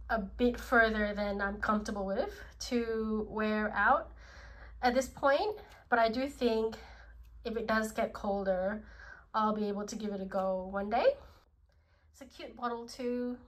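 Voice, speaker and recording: An Australian woman talks through her perfumes in a slow, gentle way. Her voice sits a little high and is thick and congested from a cold. Her delivery is unhurried and casual. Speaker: perfume vlogger. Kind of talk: casual product review.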